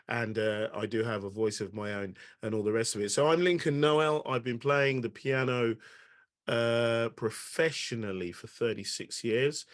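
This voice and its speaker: Man in his sixties, chatty guest. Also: mid-range voice. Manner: friendly, stumbling restarts, many ums. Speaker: pianist and guest speaker. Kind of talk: casual self-introduction talk. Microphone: video call.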